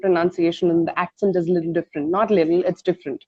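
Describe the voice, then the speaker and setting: A woman in her thirties with an Indian English accent, an English teacher chatting casually on an online tutoring call, laughing often and filling pauses. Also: lowish voice, fairly even intonation, no echo. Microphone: video call. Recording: dry, muffled video call audio.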